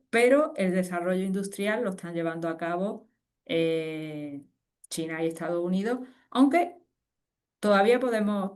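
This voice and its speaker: A woman, a guest expert speaking in a conversational webinar interview, animated and explanatory with frequent fillers and restarts. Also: mid-range voice. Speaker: guest expert on fusion energy.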